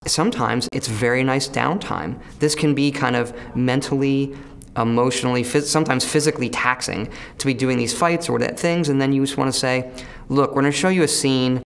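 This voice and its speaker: A man's voice: medium pitch, fairly quick, creaky, clear, resonant tone. A man chatting casually in an interview, in a conversational way.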